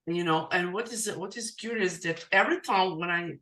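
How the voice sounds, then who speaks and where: A woman speaking English as a second language, a coach talking in a casual podcast conversation, halting with many restarts. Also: lowish voice, fairly bright tone. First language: non-English.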